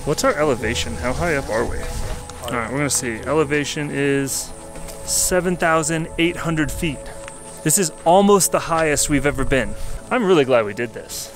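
A man's voice: a little high, normal speed, music in the background. A man in his thirties chatting casually as a travel vlogger, outdoors on a mountain, talking into a handheld camera. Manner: upbeat, easygoing, laughs often. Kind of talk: casual travel vlog chat. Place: outdoors on a volcano summit. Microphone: handheld camera at arm's length.

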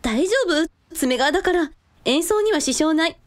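Woman playing an animated, high-voiced eldest sister. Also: normal speed. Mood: breezy. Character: easygoing, brave-faced eldest sister, a musician. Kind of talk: radio drama acting.

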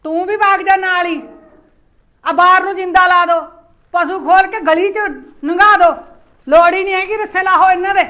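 A woman playing a sharp-tongued, sarcastic mother-in-law in a village family drama. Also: extremely high pitch, steady tone. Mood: scolding. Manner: scolding, sarcastic, rapid complaints.

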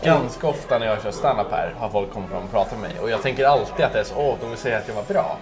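A man in his twenties, a comedian guest, telling lively anecdotes in a pub chat. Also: fairly low pitch. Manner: animated, acts out voices in anecdotes. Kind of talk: casual pub chat, storytelling. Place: busy pub. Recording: pub with background music. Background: background music.

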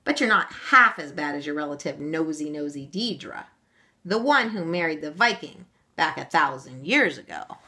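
A woman's voice: low voice, expressive, normal speed, sharp tone, heavily acted. A woman voicing a crafty, grumpy old male leprechaun cobbler with a lilt, full of blarney. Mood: griping. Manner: blarney-laden, stubborn, griping.

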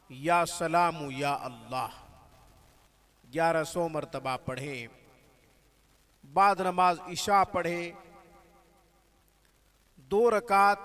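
A man gives religious instruction in a slow, measured, devout way, using Arabic and religious phrasing. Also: fairly high pitch, a bit bright. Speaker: spiritual healer teaching prayers.

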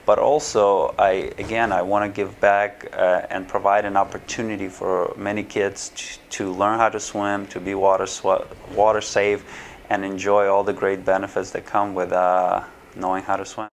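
A man's voice: low voice, flat delivery, moderate pace, gravelly, slight background noise. A man in his thirties from Ukraine, seated in a small office and answering interview questions to camera with many fillers and restarts. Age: 30s. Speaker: swim school owner. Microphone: camera framed at chest height, seated.

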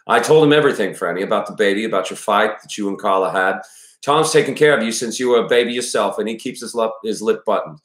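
A man's voice: on the low side, fast talker, fairly bright tone, lightly acted. A man voicing a worried, plainspoken older father from a small town, with a Maine accent, in an audiobook-style reading. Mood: worried, confiding. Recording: roomy echo around the voice.

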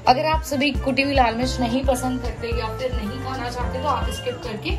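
A woman's voice: high-pitched, steady tone, brisk, loud music behind the voice. A woman narrating a home recipe step by step in a conversational, explanatory way. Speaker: home cooking vlogger and host.

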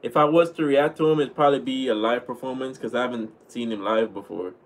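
Young Jamaican-accented man, laid-back streamer, mid-pitched voice, webcam sound in a small home room. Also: quick pace. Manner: relaxed, chatty, thanks viewers often. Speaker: livestream host reading chat. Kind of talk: casual livestream chat. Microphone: webcam at desk.

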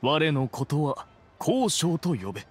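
A man's voice: on the high side, sing-song, slow pace, slightly rough, faint music behind, heavily acted. A man playing a haughty, stern immortal in human guise in an anime game, speaking in a pompous, archaic, imperious way.

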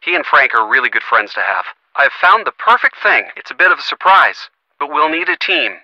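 An actor playing a supportive, teasing young boyfriend in a video game, earnest and lightly wry, heard through a phone filter. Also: male voice, fairly high pitch, very animated, fairly quick, sharp tone, no room sound, full character voice. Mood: wry, chatty, then excited, playful. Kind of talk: video game voice acting, conversational. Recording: thin, band-limited phone-like sound.

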